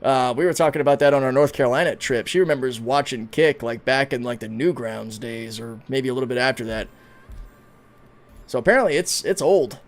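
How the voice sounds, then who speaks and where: A man's voice: medium pitch, brisk. A man in his thirties chatting casually on a game livestream, reading out and answering chat comments as he plays. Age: 30s. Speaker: streamer.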